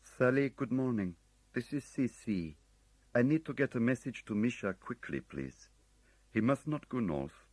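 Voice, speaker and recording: A man's voice: mid-range voice, expressive, a little mellow, dry recording, voice pushed up. A man voicing a courteous foreign agent under fire. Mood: urgent. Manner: urgent, formally polite, slow and insistent.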